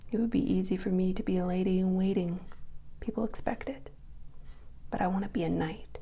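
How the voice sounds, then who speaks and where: A woman voicing a brave, kind young girl knight from a storybook in a breathy whisper. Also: mid-range voice, fairly even intonation, a little fast, dark tone. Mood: encouraging. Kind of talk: whispered storybook character reading.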